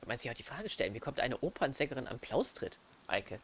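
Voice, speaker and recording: A man's voice: medium pitch, very animated, fast, creaky, fairly bright tone, a little hiss or hum. A German man hosting a let's play, chatting casually over a game. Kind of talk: casual gaming commentary.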